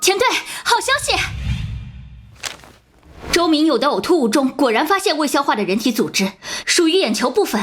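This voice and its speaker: A woman playing an excitable, cheerful police forensics colleague in an animated crime drama, bubbly and exuberant in delivery. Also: high-pitched, moderate pace. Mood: elated.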